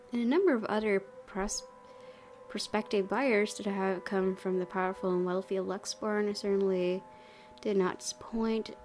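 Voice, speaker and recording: A woman's voice: medium pitch, darkish tone. A woman hosting a gaming video, chatting and reading aloud in a reactive way. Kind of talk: playthrough commentary and reading aloud. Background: game music.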